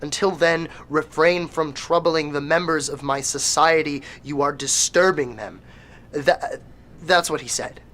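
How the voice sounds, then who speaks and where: A cryptic, guarded follower of a cult in a horror audio drama. The voice is low, with deliberate, ominous phrasing. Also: female voice, a bit bright, a little hiss or hum.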